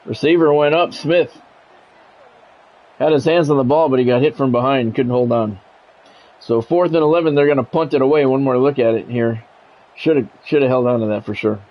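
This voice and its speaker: A man's voice: medium pitch, a little fast, highs cut off. A man calls football play-by-play in short, clipped phrases. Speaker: play-by-play announcer. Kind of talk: American football game commentary.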